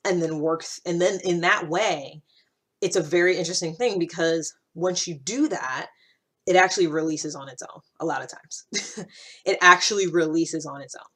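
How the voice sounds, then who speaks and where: Low-voiced woman chatting informally. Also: fairly bright tone. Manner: rambling, conversational, self-interrupting. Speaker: vlog host. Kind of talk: casual reflective monologue to viewers.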